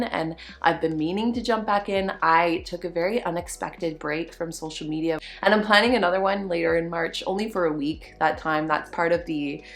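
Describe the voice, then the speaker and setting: A young woman, a little hoarse from a cold, giving a casual personal update as a podcast host, reflective and pausing to find her words. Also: fairly low pitch. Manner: reflective, searching for words, frequent restarts.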